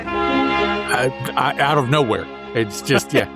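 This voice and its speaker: A man's voice: mid-range voice, takes it slowly, over loud music. A man co-hosting a podcast, talking through comic books in a casual, rambling, conversational way. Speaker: podcast co-host. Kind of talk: casual comic book discussion.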